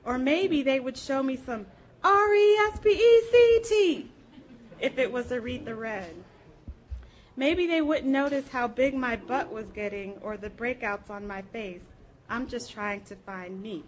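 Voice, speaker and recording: A woman reads a first-person piece aloud at a live event. Her voice sits fairly high and her delivery is expressive and theatrical. There is faint noise in the recording. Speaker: reader at a library program. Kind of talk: dramatic first-person literary reading.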